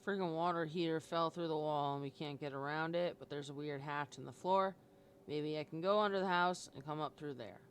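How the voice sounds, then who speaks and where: A man's voice: fairly high pitch, fairly quick, slight background noise. A man streaming a game live, giving informal commentary and reading aloud as he plays.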